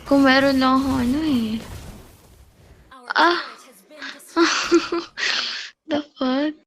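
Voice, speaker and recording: High-voiced Filipino woman, unhurried gamer chat. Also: a bit bright, slight background noise. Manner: playful, exclamatory, code-switching into English. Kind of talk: casual gaming chat.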